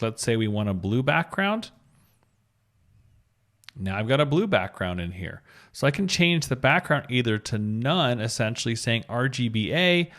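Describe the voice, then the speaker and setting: A man hosting a step-by-step coding tutorial, explaining as he goes and spelling things out in an instructional way. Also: medium pitch. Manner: instructional, spells out code letter by letter. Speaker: web design tutorial host.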